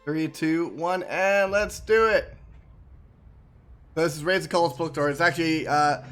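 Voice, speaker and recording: Higher-voiced man, rambling streamer chat. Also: fairly even intonation, measured pace, a bit bright, smooth. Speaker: streamer hosting a gameplay video. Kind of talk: casual chat to viewers, reacting to game cutscenes.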